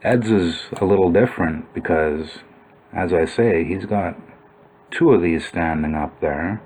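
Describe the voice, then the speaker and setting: A man with a low-pitched voice narrates a video in an informal, explanatory style. He muses as he goes, thinking aloud as he works through what he is examining. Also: unhurried, a little mellow, husky. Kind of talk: informal explanatory commentary over old photos.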